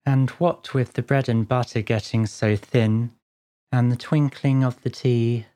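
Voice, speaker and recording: Storybook role: a woman as a humble, nervous man, low-pitched against her own voice, slow and trembling, pleading his case. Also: fairly even intonation, dark tone. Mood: anxious, pleading.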